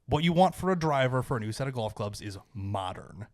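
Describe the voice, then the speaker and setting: A man's voice: medium pitch, expressive, brisk, darkish tone, no echo, clean studio sound. A man co-hosting a podcast, explaining things in an informal, matter-of-fact way in casual conversation. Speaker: podcast co-host. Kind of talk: casual chat.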